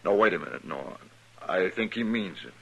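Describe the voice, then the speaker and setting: An actor playing a swaggering gunman with a slow, mocking drawl, in 1950s radio drama style. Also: deep voice, animated, creaky, sharp tone, husky, highs cut off, full character voice. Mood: sly. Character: swaggering male gunman, turning wary.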